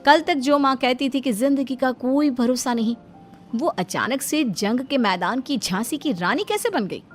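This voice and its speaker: A woman giving scripted narration for an animated moral bedtime story. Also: high-pitched, moderate pace. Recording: music behind the voice. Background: background music.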